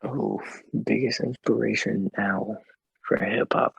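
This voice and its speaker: Man, deep voice, muffled slurred words, rough and husky, casual guest chat. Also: steady tone, takes it slowly, dry recording. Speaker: rapper guest.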